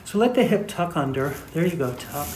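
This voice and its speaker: A man's voice: a little high, expressive, fairly quick. Middle-aged male chiropractor in a roomy space. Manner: instructive, step by step. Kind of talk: explaining hands-on treatment to clients. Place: small indoor treatment room. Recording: roomy echo, faint background noise.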